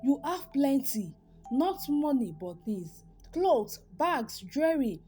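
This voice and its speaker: A woman voicing a calm, blunt mother in a told story, speaking firmly. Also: sits high, takes it slowly, soft background music, full character voice.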